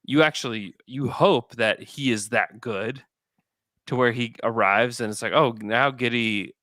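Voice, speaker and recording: A man's voice: mid-range voice, a little slow, pushed and bright, no room sound. A man hosting a sports podcast, discussing basketball in a casual, conversational way.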